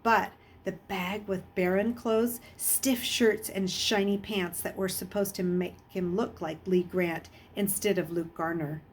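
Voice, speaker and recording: A woman reading a children's novel aloud as an expressive storyteller, with a husky voice. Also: mid-range voice, a little slow, few pauses, a little hiss or hum. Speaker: audiobook reader.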